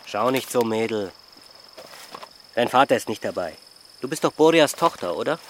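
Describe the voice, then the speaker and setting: A man's voice: mid-range voice, animated, normal speed, slight background noise, natural voice. An actor playing a terse, authoritative man giving instructions in a radio drama. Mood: terse, commanding. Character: curt, commanding man instructing a village girl.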